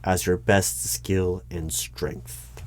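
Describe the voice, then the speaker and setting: A man performing a one-sided ASMR roleplay as a politely bureaucratic hiring manager, with a measured, halting, dry and formal delivery. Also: low voice, level tone, darkish tone. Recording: noticeable background noise with keyboard typing. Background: computer keyboard typing.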